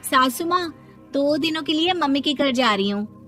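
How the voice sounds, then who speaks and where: A woman voicing a carefree, devout young daughter-in-law in an animated moral story, sassy and unbothered by criticism. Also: high-pitched, moderate pace, faint music behind, pitched above the performer's own voice. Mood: pleading. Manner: bright, sassy, brushing off criticism.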